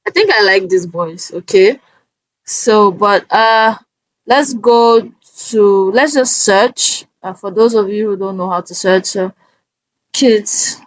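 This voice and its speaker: Slow-paced woman giving a tutorial. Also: medium pitch, dry recording, low-quality recording. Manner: halting, step-by-step, often checking in with "okay". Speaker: tutorial host. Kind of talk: casual screen-recorded software walkthrough.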